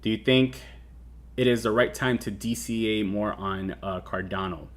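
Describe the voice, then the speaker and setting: A man's voice: fairly low pitch, normal speed. Rambling man hosting a crypto stream. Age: 30s. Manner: rambling with many fillers and restarts. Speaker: crypto show host. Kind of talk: casual livestream chat.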